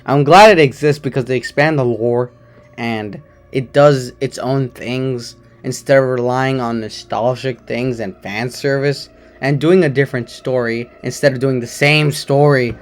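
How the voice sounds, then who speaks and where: A man with a slightly hoarse voice and occasional voice cracks, giving a chatty, rambling movie review at home. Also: mid-range voice, fairly even intonation, a little slow. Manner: chatty, rambling, enthusiastic opinions. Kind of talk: casual non-spoiler movie review.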